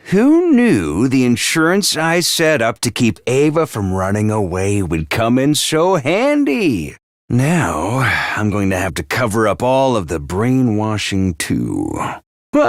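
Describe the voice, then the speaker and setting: A man's voice: a little high, a bit bright, no echo, clean studio sound, full character voice. A male actor playing a bombastic, theatrical little mascot commander in a video game, swinging between chirpy and sly. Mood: exasperated, scheming. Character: bombastic, theatrical small robot-mascot commander, cheery yet menacing. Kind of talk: animated video game voice acting.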